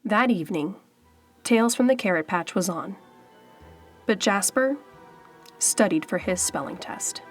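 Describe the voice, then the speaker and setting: Lady doing a suspenseful storybook read, really slow w pauses, normal pitch, soft music behind. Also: lively intonation. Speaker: storybook reader. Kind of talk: dramatic children's read-aloud narration. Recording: faint background music. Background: faint music.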